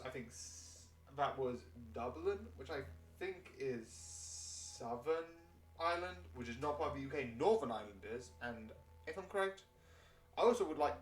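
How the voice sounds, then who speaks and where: A man from the United Kingdom chatting casually while streaming a game, rambling with fillers and self-corrections. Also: medium pitch. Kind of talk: casual chat over gameplay. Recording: roomy and distant mic.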